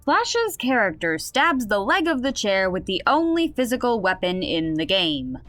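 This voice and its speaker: Woman as a wry, animated game master. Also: mid-range voice, normal speed, dry recording, faint music behind. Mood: narrating, patient. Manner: wry, explanatory. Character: game master running a comedic tabletop game. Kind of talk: comedic audio drama narration.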